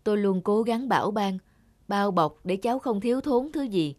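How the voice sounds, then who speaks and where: A woman voicing an anxious, doting, overprotective mother in an animated moral tale, fussing and scolding. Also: medium pitch, moderate pace, no room sound, natural voice. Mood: hesitant, remorseful. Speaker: cartoon voice actor. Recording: loud background music. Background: music.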